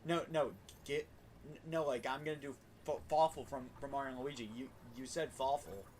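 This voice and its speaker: A man's voice: mid-range voice, fairly even intonation, fairly quick, a little mellow, slight background noise. A man hosting a casual game livestream, often stumbling over and repeating his words. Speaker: game streamer, host. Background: faint game music.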